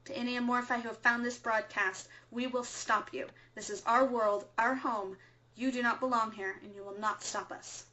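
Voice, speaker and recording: Woman playing earnest resistance broadcaster, highish voice. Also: steady tone, normal speed, bright, forceful tone, lo-fi sound. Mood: defiant. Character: earnest, dutiful female resistance broadcaster, quick to bristle. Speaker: resistance radio co-host. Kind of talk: audio drama as underground radio broadcast.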